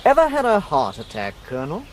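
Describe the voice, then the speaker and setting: A man's voice: a little high, huge pitch swings, fast talker, a little hiss or hum. An actor playing an incisive male member of a robbery gang in a radio drama. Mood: dry, deliberate.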